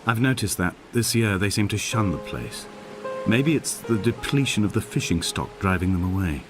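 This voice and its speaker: An actor playing a solitary, melancholy middle-aged man, narrating in a measured, solemn, literary style for a game. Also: lowish voice, animated, natural voice. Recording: music behind the narration. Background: clear background music.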